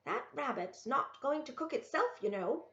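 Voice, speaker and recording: Woman putting on a brisk old mountain woman, echoey. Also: high voice, expressive, a bit bright, voice pushed up. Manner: brisk, hard. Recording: roomy, echoey, dull lo-fi sound.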